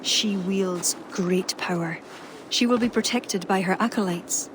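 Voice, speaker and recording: An actress playing a wise, composed female ally, calm and explanatory. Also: medium pitch, a little slow, natural voice. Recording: game audio with ambient noise.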